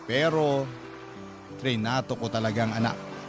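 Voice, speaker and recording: Man as heartfelt Filipino storyteller over loud music. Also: mid-range voice, measured pace, natural voice. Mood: proud, tender. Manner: earnest, confiding. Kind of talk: first-person radio drama narration. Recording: loud music bed behind narration.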